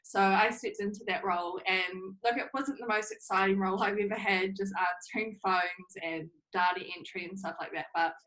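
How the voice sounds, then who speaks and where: A young woman with a New Zealand accent, chatting casually as an interview guest, often laughing and filling pauses with "like" and "um". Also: mid-range voice, fairly even intonation, fairly bright tone, poor audio. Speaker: interview guest, social media manager.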